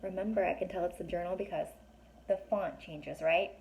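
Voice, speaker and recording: A woman reading a children's story aloud, pausing to ask young listeners questions and explain things in a chatty way. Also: on the low side, fairly even intonation, vocal fry, a little mellow, a little hiss or hum, rough recording. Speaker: teacher or reader reading a children's book aloud.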